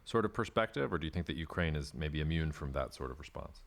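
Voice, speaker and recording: A man's voice: rumbling low, animated, fast talker, creaky, a bit bright. A man hosting a podcast, asking long, earnest questions padded with hedges like "sort of.". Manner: earnest, hedging, many "sort of" qualifiers. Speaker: podcast host. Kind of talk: interview questions.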